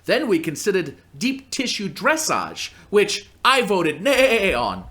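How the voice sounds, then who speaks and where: A man's voice: high voice, wildly swooping pitch, slow, sharp tone, heavily acted. An actor playing a dry male radio co-host who deflates his partner's boasts. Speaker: co-host of a radio comedy sketch. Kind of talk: live radio comedy acting.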